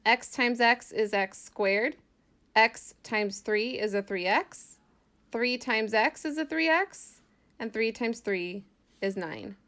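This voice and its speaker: A woman's voice: a little high, slow pace, fairly bright tone. A woman math teacher giving an informal lesson, thinking aloud as she explains worked examples step by step in a casual way.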